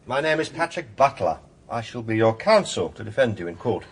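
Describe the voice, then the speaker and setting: Self-assured barrister, ladies' man, suave, husky, very varied pitch, radio drama. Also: sing-song, a little fast, full character voice. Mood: soothing, courteous.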